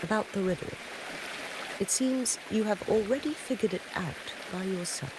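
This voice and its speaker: A woman voice actor playing a courteous, composed town official in a video game, speaking in formal, measured, polite phrases. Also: medium pitch, background noise, natural voice. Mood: thoughtful. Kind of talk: scripted video game dialogue. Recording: loud music over the voice.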